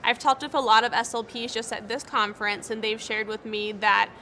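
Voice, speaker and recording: Young woman, stutters with repeats and blocks, earnest and encouraging, bright and high-pitched. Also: fairly even intonation, a little fast, a little hiss or hum. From United States. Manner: stutters, with repeated words and broken-off sounds. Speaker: interviewee, speech-language pathologist. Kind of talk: interview answers.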